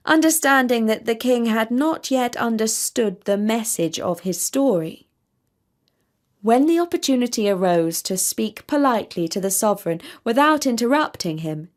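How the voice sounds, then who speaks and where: A woman storyteller narrating a scripted children's tale in measured, deliberate phrases. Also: fairly high pitch.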